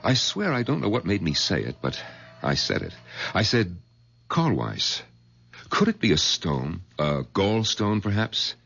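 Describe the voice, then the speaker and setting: A skeptical middle-aged doctor with a deep, gravelly, husky voice. He talks in exasperated swings of pitch, rising sharply when pushed. The delivery belongs to classic radio drama. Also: very animated, a little slow, a bit bright, soft background music, exaggerated voice. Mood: bewildered. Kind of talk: 1970s radio drama acting.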